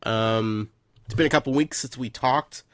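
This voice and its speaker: A man's voice: mid-range voice, moderate pace, no room sound, clean studio sound. A man hosting a casual podcast chat, stuffed up from a head cold, rambling with lots of fillers. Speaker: podcast host.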